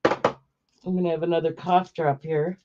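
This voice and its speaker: A hoarse woman running a live-stream auction, chatty and unhurried, pausing to cough. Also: low voice, level tone, very noisy. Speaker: online auctioneer. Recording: dull lo-fi live-stream audio.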